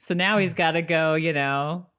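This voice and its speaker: A woman's voice: sits low, moderate pace, a bit bright, smooth. A woman co-hosting a podcast, chatting casually as she recaps a TV episode. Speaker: podcast co-host.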